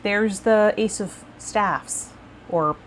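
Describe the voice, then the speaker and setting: A woman vlogging casually and reflectively to her viewers, rambling a little and pausing mid-thought, with some guided meditation cues. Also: medium pitch, slight background noise. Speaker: host of a tarot vlog and healer.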